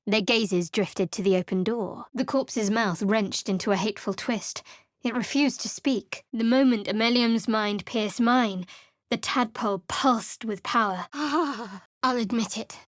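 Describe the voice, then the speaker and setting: A woman's voice: fairly high pitch, measured pace, no room sound, natural voice. A woman gives voice to a secretive young cleric in a fantasy game, clipped and sardonic, keeping everyone at arm's length. Her voice sits a little above the usual, and the studio sound is close and completely dry. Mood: guarded. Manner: dry, wry and curt, quietly secretive. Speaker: game character.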